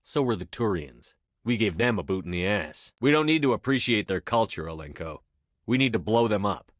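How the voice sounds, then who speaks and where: Low-voiced, resolute military commander played by a man. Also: expressive, dry recording, studio quality, close to an everyday voice. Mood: blunt, dry. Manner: measured, firm, clipped military delivery. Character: resolute male military commander in a sci-fi game, calm authority. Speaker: voice actor in a video game. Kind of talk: scripted video game dialogue.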